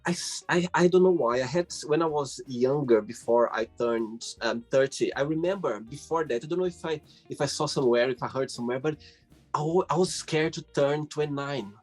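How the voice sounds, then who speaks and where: A man hosting a casual interview, asking questions and telling stories in a halting way with repeated words. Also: mid-range voice, darkish tone. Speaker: host. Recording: faint music behind.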